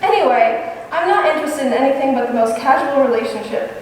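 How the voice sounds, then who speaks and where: Woman acting a fast-talking, coy, flustered landlady. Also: high voice, animated. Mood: indignant, coy. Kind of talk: 1950s radio comedy acting. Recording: echoey hall, distant mic, faint noise.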